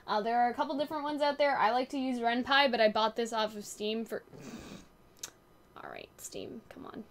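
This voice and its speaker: A woman hosting a gaming channel, chatting casually and reading aloud from a web page in an expressive way. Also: on the high side, fairly quick.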